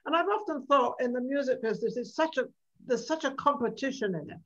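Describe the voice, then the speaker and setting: A woman's voice: high voice, animated, fast. An older woman hosting a talk show, asking long, rambling, chatty questions over a video call. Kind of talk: interview questions. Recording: slightly dull video call audio.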